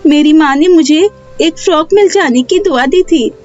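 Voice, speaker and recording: A woman's voice: high voice, moderate pace, darkish tone, lo-fi sound, pitched above the performer's own voice, natural voice. A woman voicing an earnest, childlike poor little girl in a children's story. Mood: earnest explaining.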